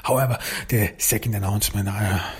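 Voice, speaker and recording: A man whose first language is German, hosting his own podcast in casual talk, halting with many fillers and restarts. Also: on the low side, steady tone, husky. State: recovering voice after illness. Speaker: podcast host and author.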